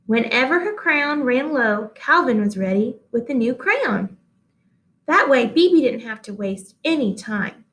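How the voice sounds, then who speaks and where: High-voiced woman reading a children's story. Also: lively intonation, measured pace, fairly bright tone. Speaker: read-aloud storyteller. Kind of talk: expressive children's storybook narration.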